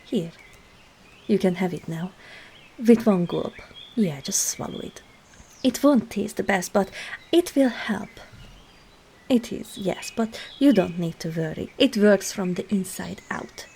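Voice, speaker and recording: A woman playing a sly, coaxing witch herbalist in an ASMR roleplay, speaking softly and often slipping into a whisper. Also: mid-range voice, lively intonation, measured pace, creaky, long pauses, slight background noise.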